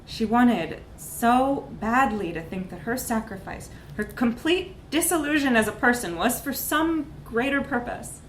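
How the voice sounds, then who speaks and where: A woman reading a comic short story aloud in a live literary reading, with expressive, dramatic emphasis. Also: fairly high pitch, measured pace, few pauses. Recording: echoey room with background noise.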